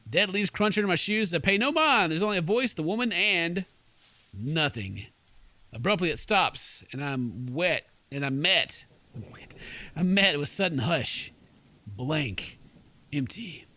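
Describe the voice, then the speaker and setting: A man's voice: on the high side, sing-song, a little slow, bright, forceful tone, dry recording. A man hosting a game playthrough, goofy and theatrical, mixing commentary with dramatic read-aloud narration. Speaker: gaming video host.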